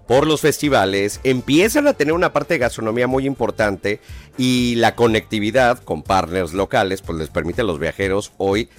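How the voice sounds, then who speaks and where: Travel host guy, low voice, upbeat, light music under. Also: lively intonation, normal speed. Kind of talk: radio interview.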